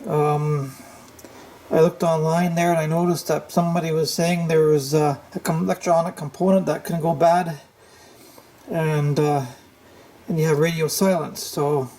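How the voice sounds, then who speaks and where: A man giving an unscripted, hands-on repair walkthrough, thinking aloud in a halting, tentative way. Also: on the high side, fairly even intonation, warm tone. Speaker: repair tutorial host.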